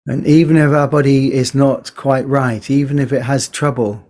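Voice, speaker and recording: A man leading a guided body scan meditation as a teacher, speaking gently in short phrases with unhurried pauses. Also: medium pitch, fairly even intonation.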